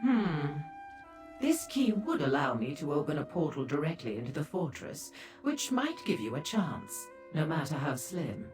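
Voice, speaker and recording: A woman's voice: deep voice, big pitch swings, normal speed, a little mellow, soft background music. A woman voicing a sly, seductive and haughty female demon dealer in a fantasy video game, with a teasing manner. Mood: haughty, wry.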